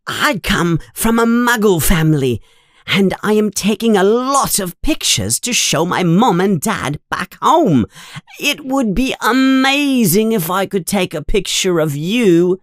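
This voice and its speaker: A small, starstruck schoolboy from a storybook, speaking in a thin, very high voice. He is nervous and eager, gushing with a stammer, and the sound is dry and close. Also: huge pitch swings, slow pace, no room sound, heavily acted. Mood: excited. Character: nervous, excitable small first-year schoolboy fan.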